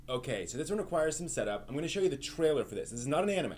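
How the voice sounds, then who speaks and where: A man hosting a live stream in casual, halting chat, circling back over his phrases. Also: a little high, very animated, darkish tone, slight background noise. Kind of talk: casual rambling chat about anime.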